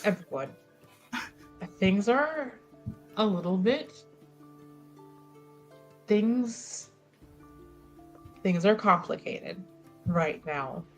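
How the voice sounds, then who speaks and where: Female tabletop player: unhurried, halting, improvised in-character talk over light music. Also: mid-range voice, animated. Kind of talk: improvised in-character roleplay with casual asides.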